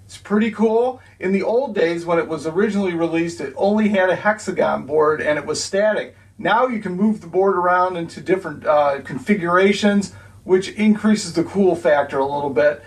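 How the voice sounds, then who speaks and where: A man with a high voice hosts a board game review, loose and easygoing, with plenty of fillers as he talks. He sounds some way off from the microphone. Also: moderate pace. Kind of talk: casual on-camera review intro and wrap-up. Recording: voice picked up from a distance.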